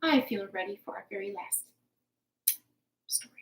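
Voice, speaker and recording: A woman hosting a storytime for young children, talking in an animated, encouraging, playfully exaggerated way, recorded in a living room. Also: medium pitch, moderate pace. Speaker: children's storytime host at a public library. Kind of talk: children's storytime, rhymes and counting. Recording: roomy, echoing home recording.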